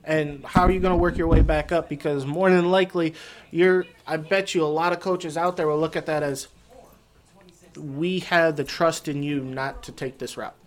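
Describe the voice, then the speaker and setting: A man's voice: on the high side, fairly even intonation, normal speed. A man in a casual podcast discussion, explaining at length and building out hypothetical situations.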